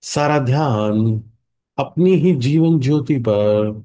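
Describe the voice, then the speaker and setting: A man with an Indian accent leads a guided meditation in a hushed, calming way, leaving long pauses between short phrases. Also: medium pitch.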